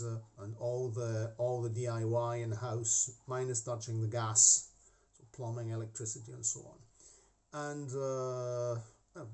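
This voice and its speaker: A man's voice: lowish voice, gravelly, darkish tone. A middle-aged man with a Scottish-tinged accent, chatting to camera from his hobby room with many restarts and asides. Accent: Scottish-tinged English. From half Scottish. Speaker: hobby model-making channel host. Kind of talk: casual chat to camera. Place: home hobby room with desk. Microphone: camera facing speaker.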